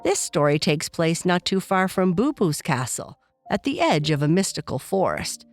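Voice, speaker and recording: A woman reading a scripted children's bedtime story as narrator, in a gentle, unhurried manner. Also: lowish voice, sing-song, faint music behind.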